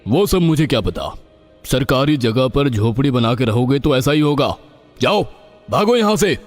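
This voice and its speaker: Man acting a cruel, animated bullying boss. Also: medium pitch, moderate pace, lightly acted. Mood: callous. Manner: menacing, scornful. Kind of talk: animated moral story voice acting. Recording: long hall reverb, music behind.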